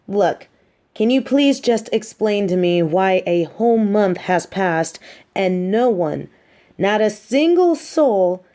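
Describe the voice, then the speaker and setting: A woman's voice: medium pitch, mellow, close to an everyday voice. A woman playing a smug, mean but sympathetic supervillain captor in an audio roleplay, talking in a taunting, theatrical, conversational way. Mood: impatient, puzzled. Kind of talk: roleplay audio drama monologue.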